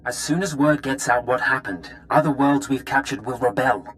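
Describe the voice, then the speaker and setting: A man playing a pragmatic intelligence officer in a video game, talking in a brisk, businesslike, urgent way. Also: medium pitch, fairly bright tone, slight background noise, lightly acted. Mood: grim, businesslike. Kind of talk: scripted video game dialogue.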